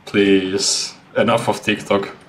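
A young man streaming a game from a home room, reacting casually and excitably. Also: fairly low pitch, slow, heavy background noise. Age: young adult. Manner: excitable, exclaiming. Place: home room indoors, at a desk. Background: game music.

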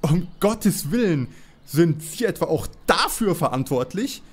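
A highish, swooping male voice of an actor plays a bewildered amateur detective in a parody audio drama. He comes across as flustered and indignant. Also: big pitch swings, unhurried, slightly rough, exaggerated voice.

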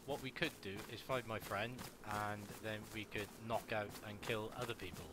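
A man's voice: lowish voice, steady tone, normal speed. A man from Wales with a Welsh accent, chatting casually while playing an online video game. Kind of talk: casual chat during online gameplay. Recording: clear background noise and game sounds.

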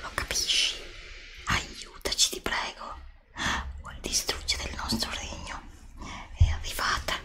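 Whispering, slow, solemn princess acted by a woman. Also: close to an everyday voice. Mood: pleading, sorrowful. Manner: slow, hushed, dramatic pleading. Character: solemn pleading princess of a threatened castle kingdom. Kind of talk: whispered ASMR roleplay. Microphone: close desktop USB microphone.

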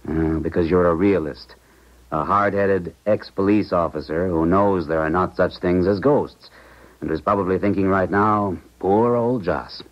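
An actor playing a grieving, unsettled scientist, earnest and troubled, in a 1970s radio drama. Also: male voice, sits low, unhurried. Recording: dull 1970s radio recording.

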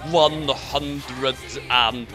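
A narrator voicing a sinister male teacher with slow, drawling, sneering menace in a comic fan fiction reading. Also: medium pitch, fairly even intonation, music in the background, pitched below the performer's own voice.

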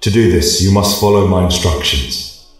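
A man's voice: low voice, moderate pace. A man voicing a solemn, commanding god of light in grand, declamatory fantasy storytelling, with heavy reverb on the voice. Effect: heavy echo reverb. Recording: heavily echoing, distant voice. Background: choral music.

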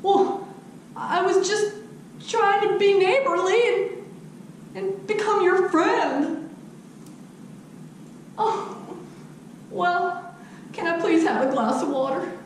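A woman's voice: very high voice, slow pace, pauses often, distant mic, voice pushed up, heavily acted. A middle-aged woman playing a bewildered, friendly wolf in a children's fairy tale show, hesitant and stammering. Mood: sheepish, friendly. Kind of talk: theatrical children's storytelling. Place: living room at home. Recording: very echoey room, faint noise.

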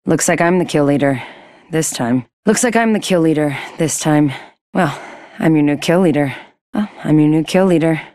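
A woman playing a cool, guarded fighter in a video game, understated and deadpan. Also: low voice, normal speed, no echo, clean studio sound, natural voice.

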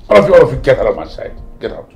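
A man's voice: on the low side, very animated, normal speed. A man acting a domineering, irritable father who blusters and repeats himself in a film drama. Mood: furious. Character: domineering, irritable, indignant middle-aged father.

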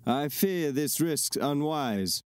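An actor playing a formal, wary imperial commander, speaking measured archaic verse in an audio drama. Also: male voice, a little high, lively intonation, clean tone, no room sound, studio quality. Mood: wary, stern.

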